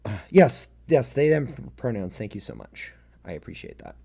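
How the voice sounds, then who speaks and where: A man in his late thirties chatting casually and haltingly with livestream viewers, in a self-deprecating way. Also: huge pitch swings, creaky, dark tone, breathy edge. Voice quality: deep. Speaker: streamer reading own fan fiction.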